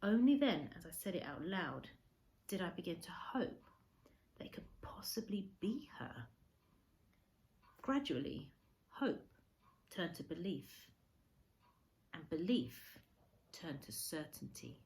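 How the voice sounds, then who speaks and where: A woman reading a story aloud as a teacher, in expressive storybook narration. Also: fairly low pitch, slow, creaky, pauses often.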